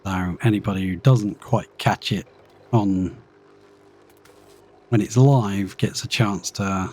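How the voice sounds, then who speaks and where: A man casually commenting on his live gameplay, chatty and thinking aloud as he plays, his voice slightly croaky after being ill. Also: sits low, takes it slowly, darkish tone. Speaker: live streamer.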